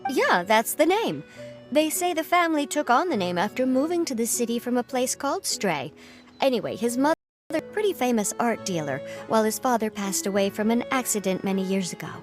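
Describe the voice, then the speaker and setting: Earnest, worried young game lady: high, lively pitch, emphatic and talkative. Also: brisk, faint music behind. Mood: grave, confiding. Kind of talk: video game voice acting.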